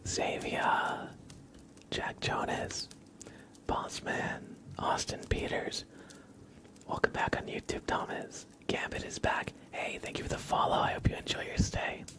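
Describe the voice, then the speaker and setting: A man hosting a casual ASMR livestream, chatting in a soft, breathy, hushed whisper with an unhurried, rambling delivery.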